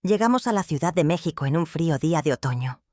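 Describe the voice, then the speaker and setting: An older woman from Michoacán, narrating a first-person life story in a measured, solemn manner. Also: low voice, expressive, darkish tone, dry recording, studio quality. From Michoacán, Mexico.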